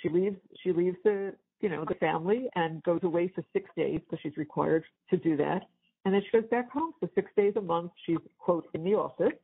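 A woman in her seventies chatting casually on a family phone call, halting and repeating phrases, with a husky, gravelly voice. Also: on the low side. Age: 70s. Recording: tinny, band-limited jail phone call.

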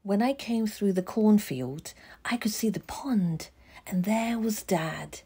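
Woman, children's audiobook narrator, unhurried pace, soft mellow tone, slightly breathy, measured expressive storytelling. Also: medium pitch. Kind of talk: children's picture book read-aloud.

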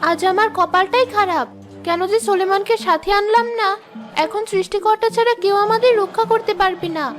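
Woman as very high-pitched haughty young princess. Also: fairly even intonation, brisk, soft background music. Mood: frustrated. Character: proud, impulsive young princess who loves hunting. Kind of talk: Bengali cartoon story dialogue.

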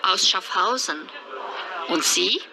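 A woman's voice: mid-range voice, lively intonation, takes it slowly, creaky, a crowd in the background, rough recording. An actress playing a friendly woman making small talk in a scripted language test.

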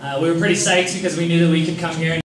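A man's voice: fairly high pitch, little pitch movement, very fast. Upbeat young man in an echoey hall. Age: young adult. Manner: upbeat, easygoing. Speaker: athlete on a press panel. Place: large echoey indoor hall. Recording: echoey hall recorded from far off, noisy.

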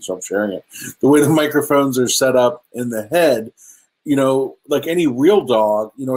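A man's voice: mid-range voice, a little mellow, husky, rough recording. A middle-aged man casually presenting slides over a webcam video call, hesitant and chatty with many ums and restarts. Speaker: presenter at a virtual meetup. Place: indoor room at home.

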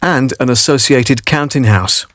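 A middle-aged British man reading scripted documentary narration in a measured, storytelling way. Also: mid-range voice, a bit bright, no room sound, clean studio sound. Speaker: voice-over artist. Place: vocal booth. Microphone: studio condenser microphone.

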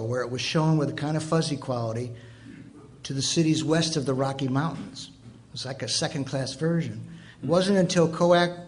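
An older American man from Detroit, casually explaining and reminiscing as a panelist. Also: a little high. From Detroit, United States. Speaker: panelist, author. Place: stage panel in a theater. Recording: live stage microphone with background noise.

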